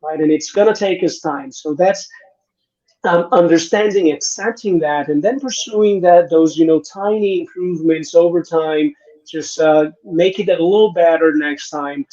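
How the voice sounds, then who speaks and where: Man, middle-aged, raised mellow voice, lo-fi call sound, reflective panel talk. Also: fairly high pitch, normal speed. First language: Portuguese. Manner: reflective, many restarts and "right?" tags. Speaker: panel guest, innovation consultant. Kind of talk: conversational panel discussion.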